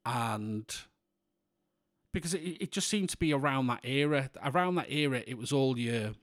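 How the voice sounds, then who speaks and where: A man from in England co-hosting a podcast in casual chat, with a monotone voice and a rambling way of talking full of restarts. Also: medium pitch, normal speed, dry recording, studio quality. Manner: rambling, many restarts and fillers. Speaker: podcast co-host.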